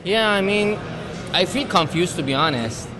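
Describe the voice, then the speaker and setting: A man's voice: high-pitched, animated, normal speed, music in the background. A man talking hesitantly with many fillers, unsure about the game. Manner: hesitant, filler-heavy talk. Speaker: soccer fan.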